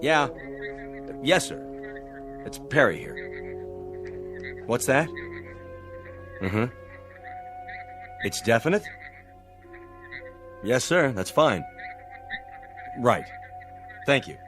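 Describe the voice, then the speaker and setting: An earnest American policeman in a radio drama. He reasons in a measured, level-headed way, with an expressive mid-pitched voice. Faint music plays behind him. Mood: businesslike. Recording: music bed under the dialogue.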